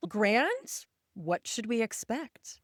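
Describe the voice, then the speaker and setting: A woman's voice: on the high side, very animated, drawn-out words, no echo, clean studio sound. A woman hosting a podcast, asking questions in casual conversational talk. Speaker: podcast host.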